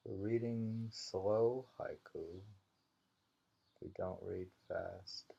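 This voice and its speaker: A man reading haiku slowly and commenting on it casually, in an unhurried, musing way. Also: low-pitched, steady tone, gravelly, mellow, husky. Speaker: reader of a haiku anthology. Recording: dull lo-fi home recording.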